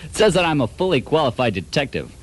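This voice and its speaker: A man's voice: a little high, very animated, fast talker. A man playing a dim, cocky, boastful detective in an amateur comedy radio drama. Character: dim, cocky hard-boiled private detective with boastful airs.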